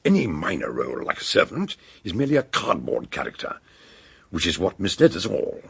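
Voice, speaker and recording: An actor playing an eccentric, wordy older British detective doctor in a radio drama, genial and courteous, with a gravelly, husky voice. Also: male voice, deep voice, wildly swooping pitch, no room sound, full character voice. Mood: grave, deliberate. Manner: wordy, deliberate, old-fashioned courtesy.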